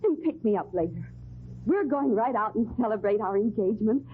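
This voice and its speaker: An actress playing a sweet, melodramatic young fiancée in an old-time radio drama, gushing and halting. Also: female voice, medium pitch, lively intonation, slightly rough. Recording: tinny old radio broadcast, faint noise.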